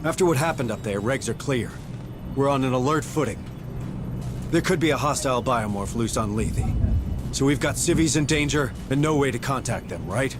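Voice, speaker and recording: An actor playing a gruff, sceptical, weary space marine sergeant in a cinematic video game. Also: male voice, animated, moderate pace, close to an everyday voice. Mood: irritated, sceptical. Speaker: game character. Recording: music and rumble behind the voice. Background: cinematic music.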